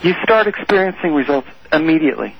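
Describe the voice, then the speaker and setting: A man's voice: on the high side, lively intonation, quick pace, gravelly, slightly rough. Earnest man explaining over a muffled line. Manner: earnest, explains step by step with many "you know"s. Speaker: guest expert. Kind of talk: explanatory radio talk.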